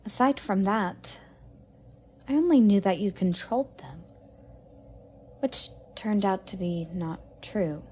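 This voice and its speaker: Young goddess for audio roleplay, played by a woman: playful, curious, expressive, mildly haughty, leisurely delivery, slight noise behind. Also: medium pitch, takes it slowly, pauses often. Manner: inquisitive, expressive, cooing at a pet. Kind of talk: romantic audio roleplay addressed to the listener.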